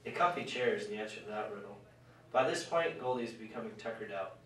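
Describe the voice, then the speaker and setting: Guy narrating a puppet show story, kinda low voice, super echoey room. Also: lively intonation, quick pace, pushed and bright. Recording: very echoey room, distant, faint noise.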